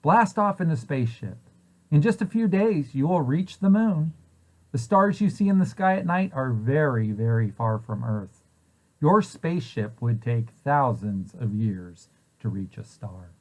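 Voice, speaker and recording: A man's voice: mid-range voice, lively intonation, measured pace, darkish tone, low-quality recording. A man reading a children's picture book aloud, posing questions and answers in a storytelling way for young listeners. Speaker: preschool site director reading aloud.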